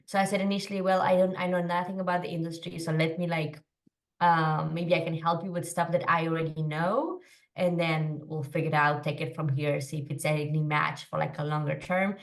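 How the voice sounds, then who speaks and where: A woman in her thirties from Romania, a podcast guest telling her story in a quick, chatty way with lots of fillers. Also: deep voice, flat delivery, recorded from a distance. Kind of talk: casual interview storytelling.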